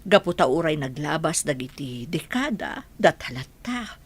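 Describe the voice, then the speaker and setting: A woman's voice: sits low, big pitch swings, moderate pace, slightly rough, full character voice. A woman with a Filipino accent playing a tender older bride-to-be in an audio drama. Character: Aurelia, tender older bride-to-be reunited with her love.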